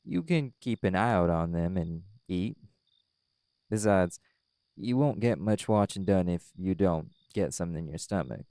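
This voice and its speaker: A man playing a calm, caring were-dog pack alpha in an audio roleplay, gently reassuring a friend. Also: deep voice, normal speed, no echo, studio quality. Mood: gentle coaxing. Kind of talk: audio roleplay acting, intimate comforting talk.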